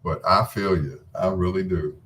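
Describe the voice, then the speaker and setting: A man's voice: low-pitched, steady tone, moderate pace, a bit bright. A middle-aged man hosting a radio show in a casual late-night chat, laid-back, folksy and teasing with his listeners. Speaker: radio host.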